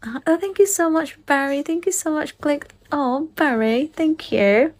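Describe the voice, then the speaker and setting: Young filipina girl on a phone live, slow easy talk, bit high, giggly and gushy. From Philippines. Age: young adult. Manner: gushing, giggly, thanking viewers. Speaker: live streamer. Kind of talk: casual live-stream chat reading comments. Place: indoor room. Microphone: phone held close at arm's length.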